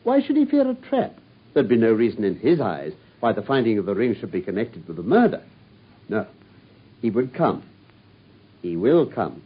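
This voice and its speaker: A man's voice: lowish voice, huge pitch swings, moderate pace, pauses often, husky, heavily acted. An actor playing a brilliant, confident male detective in an old radio drama. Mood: assured. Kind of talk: 1950s BBC radio drama acting. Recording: dull lo-fi old radio recording.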